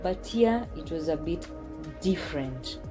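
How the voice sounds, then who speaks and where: Slow-paced Kenyan woman vlogger. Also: sits low, expressive, music in the background. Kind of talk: personal vlog storytelling to camera.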